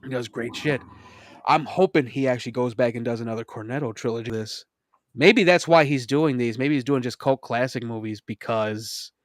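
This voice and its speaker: A man co-hosting a casual podcast, talking excitedly, laughing often and swearing freely. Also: mid-range voice, moderate pace, no room sound, studio quality. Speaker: podcast co-host. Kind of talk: casual chat.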